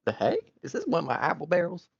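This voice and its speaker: A man's voice: a little high, wildly swooping pitch, fast talker, gravelly, breathy edge, voice pushed up, full character voice. A man voicing a stern but fair female farm pony who speaks with folksy country phrasing, on a dull lo-fi mic.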